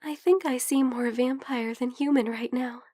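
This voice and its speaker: Woman acting soft, high-voiced, hesitant young vampire girl. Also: fairly even intonation, moderate pace, no room sound, studio quality. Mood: dazed, blissful. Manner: hesitant, soft, breathy murmuring that slips into whispers. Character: shy, lovestruck young female vampire, caring and hungry. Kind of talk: intimate ASMR roleplay.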